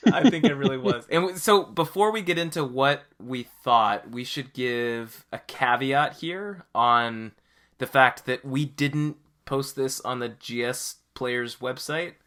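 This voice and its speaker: A man's voice: mid-range voice, takes it slowly, a crowd in the background. A man hosting a podcast in casual, conversational discussion. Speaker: podcast host.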